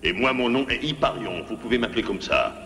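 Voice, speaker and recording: A man voicing a lonely, grumpy old self-styled ghost in a French animated cartoon, gruff and formal but soft-hearted underneath, with a creaky voice. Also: mid-range voice, a little fast, in a large hall, a little hiss or hum. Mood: softened. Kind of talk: French animated series dubbing.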